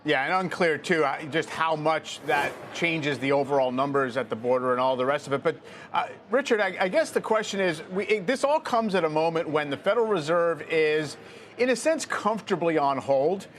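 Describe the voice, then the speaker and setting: A man's voice: a little high, animated, moderate pace, fairly bright tone. A man anchoring a televised business interview, framing long conversational questions with restarts. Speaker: TV news anchor.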